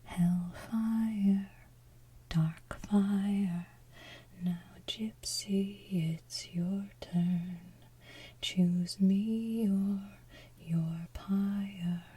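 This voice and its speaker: A woman delivers ASMR in a hushed, airy voice that is mostly whisper and soft singing. Her pace is very slow and gentle. The tone is extremely mellow, with a husky breathiness throughout. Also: mid-range voice. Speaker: ASMR channel host. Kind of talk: ASMR soft singing of animated film villain songs.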